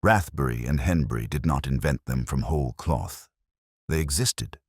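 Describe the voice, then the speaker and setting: A man voicing a grave, brilliant gentleman detective in a storybook, formal, measured and oratorical. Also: rumbling low, lively intonation, husky, no echo, studio quality, full character voice. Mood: grave, explaining.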